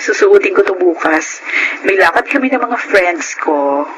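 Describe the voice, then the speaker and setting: A woman acting a sweet, excitable, affectionate young wife in a Filipino radio drama, with a Filipino accent. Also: on the low side, unhurried, lo-fi sound, background noise. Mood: conversational.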